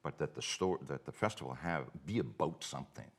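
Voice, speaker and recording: A middle-aged man with a Cape Breton accent, a festival co-founder telling stories in a relaxed live chat. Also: very deep voice, brisk. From Cape Breton, Nova Scotia, Canada. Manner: animated storyteller, acts out quoted dialogue.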